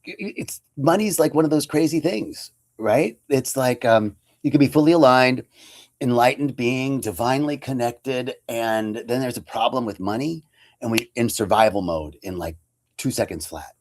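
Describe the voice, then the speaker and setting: A man's voice: mid-range voice, moderate pace, a little mellow. Casual, conversational male spiritual teacher. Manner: casual and conversational, with lots of fillers. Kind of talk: inspirational livestream talk.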